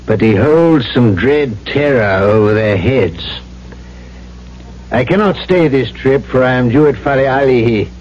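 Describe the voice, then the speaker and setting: Man playing solemn, measured priest for vintage radio play; medium pitch, formal diction, lo-fi archive recording. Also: darkish tone, natural voice. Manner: formal, deliberate. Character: grave, measured male missionary priest. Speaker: actor in supporting part. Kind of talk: old-time radio drama acting. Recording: muffled old radio recording with faint hum.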